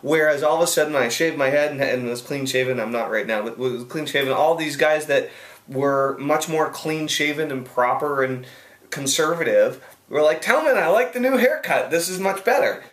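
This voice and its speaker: A man's voice: a little high, normal speed, a bit bright, echoey room. A man hosting a video advice show, answering a viewer's question in a rambling, chatty way with restarts and asides. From United States.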